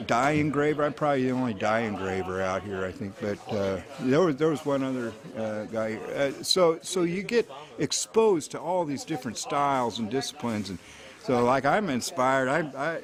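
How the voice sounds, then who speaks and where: Clear crowd chatter sits behind a mature man being interviewed. His voice is medium in pitch. He talks in a casual, folksy way, rambling and hesitating as he finds his next thought. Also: expressive, normal speed. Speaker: engraver.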